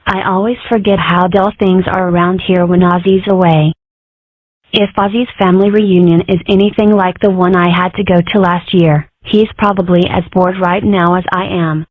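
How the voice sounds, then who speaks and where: A woman's voice: lowish voice, steady tone, normal speed, no room sound, natural voice. Woman as cheeky cartoon girl, thin phone-like sound. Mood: bored. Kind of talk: comic strip dub dialogue. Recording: narrow phone-like audio, dry.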